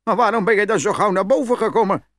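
A man's voice: sits high, fast, a bit bright. A man playing a greedy, gullible, hot-tempered peasant in a fairy-tale audio play, blustering and exclamatory. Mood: amazed, envious. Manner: blustering, exclamatory, stammers when startled.

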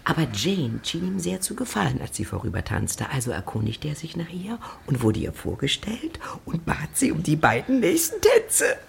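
A woman playing an effusive, excitable middle-aged mother in a German radio drama, theatrical and slipping into breathy tones. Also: deep voice, normal speed, few pauses, slight background noise, full character voice. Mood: gushing. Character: gushing, excitable middle-aged mother, vain about her daughters.

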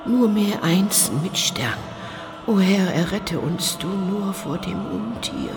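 An actress in a radio play voicing a pious village schoolteacher with a creaky voice, praying fervently for rescue. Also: female voice, medium pitch, animated, slow pace, over loud music. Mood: fervent, pleading.

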